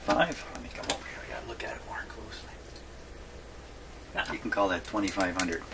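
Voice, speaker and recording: A man giving a casual hands-on workshop demonstration, thinking aloud in a halting mutter and repeating his words. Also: low voice, steady tone, in a whisper. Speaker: hobbyist radio repairer presenting his workshop video. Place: radio repair workshop. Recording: clearly noisy workshop recording.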